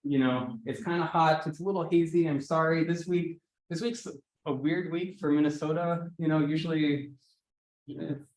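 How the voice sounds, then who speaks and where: A man's voice: fairly high pitch, fairly even intonation, moderate pace, darkish tone, slight background noise. Casual man talking in an echoey room. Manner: informal, loose, hedging. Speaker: assistant professor leading a summer school. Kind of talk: casual introductory talk to students. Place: indoor lecture room.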